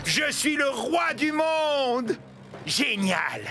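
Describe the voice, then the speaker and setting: A man's voice: very high-pitched, big pitch swings, very slow, full character voice. A man voicing a playful, boastful young dump truck in a French cartoon dub, grandiose and theatrical, over music. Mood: proud, boastful. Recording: loud music behind the voice.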